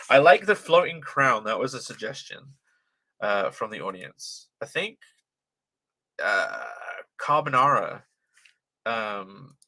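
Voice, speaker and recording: A young British man with a light, transatlantic-leaning accent talks through a casual livestream, reading comments aloud. His voice is very bright and forceful, his pitch swings around animatedly, and it sits close and dry on the mic. He swears freely and laughs a lot. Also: dry recording. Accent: light British, transatlantic-tinged. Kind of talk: casual live-stream chat, reading chat aloud.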